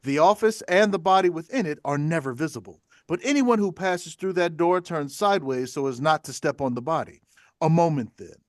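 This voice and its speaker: Casting: male stage-direction reader, fairly high voice, dry close sound. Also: lively intonation, fairly quick, no room sound. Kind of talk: scripted narration at a table read.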